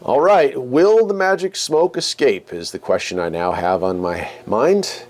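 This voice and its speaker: A man's voice: mid-range voice, lively intonation, measured pace, a little mellow. A man hosting a hobby video in a casual, folksy way, musing aloud as he demonstrates equipment. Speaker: radio hobby video host. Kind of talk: casual hands-on demonstration chat.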